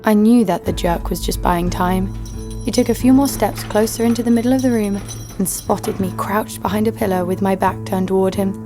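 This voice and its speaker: A woman's voice: medium pitch, fairly even intonation, brisk, close to an everyday voice. An actress playing a tough, wry female adventurer who narrates her own story in a scripted audio drama. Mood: wry storytelling. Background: music.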